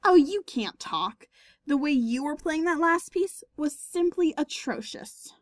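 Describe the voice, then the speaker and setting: A woman's voice: sits high, lively intonation, measured pace, no echo, clean studio sound. A woman voicing a taunting female pony musician, sarcastic and needling, in a dramatised story reading. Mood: snide.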